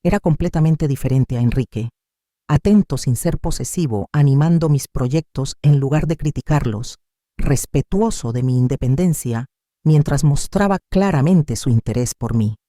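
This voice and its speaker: A narrator reading a scripted first-person story in a measured, sentimental way. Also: mid-range voice, mellow, dry recording, studio quality.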